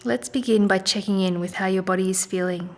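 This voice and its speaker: A woman leading a guided meditation in a soft, gentle, soothing manner with unhurried pauses. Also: mid-range voice, steady tone. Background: music.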